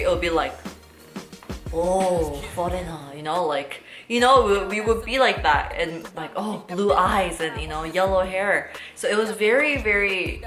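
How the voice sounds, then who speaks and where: A woman, chatting casually and rambling in an unedited Q&A vlog while cutting fruit. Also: fairly low pitch. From South Korea and Los Angeles. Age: 30s. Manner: chatty, rambling, often switching into Korean words. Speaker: YouTuber host. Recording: roomy echo with faint background music.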